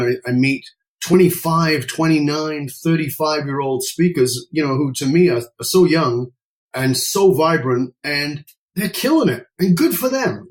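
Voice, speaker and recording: A middle-aged British man talks as a podcast guest and speaking coach. His voice sits a little high for a man, and his delivery is emphatic and outspoken. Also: poor audio. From United Kingdom. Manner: emphatic, outspoken, swears casually. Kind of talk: conversational interview.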